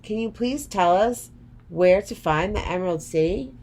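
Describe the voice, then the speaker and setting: A woman's voice: mid-range voice, lively intonation, moderate pace, a little mellow, natural voice. A woman voicing an earnest, wondering young girl in a storybook reading.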